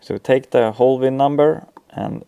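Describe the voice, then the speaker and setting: A man in his thirties with a Swedish accent, giving an informal, halting software walkthrough full of ums. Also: medium pitch, gravelly, clean tone, narrow, tinny audio. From Sweden. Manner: hesitant, halting delivery with frequent ums. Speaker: tutorial presenter.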